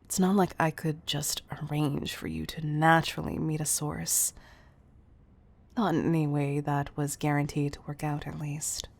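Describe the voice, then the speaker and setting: Woman acting as tough but tender female CEO, intimate roleplay, deliberate delivery, breathy near-whisper, crackling vocal fry. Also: deep voice, little pitch movement, darkish tone. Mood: confessional, restrained. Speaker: audio roleplay voice performer.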